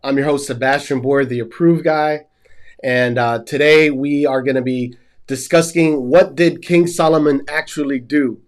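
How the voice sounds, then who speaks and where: A man's voice: medium pitch, steady tone, moderate pace. A man hosting a Bible study show, sounding nasal and stuffy from a cold, and earnestly retelling scripture background as a story. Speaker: host.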